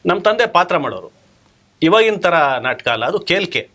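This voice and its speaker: A man reminiscing as an interview guest, halting as he searches for words. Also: high-pitched, sing-song, fairly bright tone. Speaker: interview guest, theatre artist.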